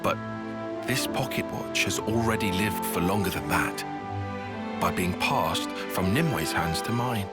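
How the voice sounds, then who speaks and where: A man's voice: deep voice, animated, unhurried, loud music behind the voice. A man playing a reserved, prickly, bookish young soldier in a video game cutscene, speaking precisely and formally. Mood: reflective.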